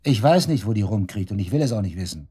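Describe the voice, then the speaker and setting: Radio crime drama: cornered suspect, low and mellow-dark, crude slang, scornful, naturalistic. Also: male voice, lively intonation, quick pace, slightly rough. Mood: scornful, sarcastic. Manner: sarcastic, crude, defiant, slangy. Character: brash, sneering male murder suspect under police questioning.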